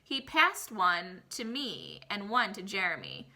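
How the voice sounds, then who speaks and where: A woman reading a picture book aloud expressively, like a teacher, pausing to explain and question young listeners. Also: medium pitch, wildly swooping pitch, pushed and bright. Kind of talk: expressive children's read-aloud.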